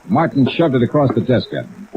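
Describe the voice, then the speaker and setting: An actor playing a hard-boiled insurance investigator in a 1950s radio noir, terse, clipped and wry, both in dialogue and first-person narration. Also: male voice, mid-range voice, fairly quick, a crowd in the background, lightly acted. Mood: brisk narrating. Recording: dull old radio recording, faint hiss. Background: steady rain.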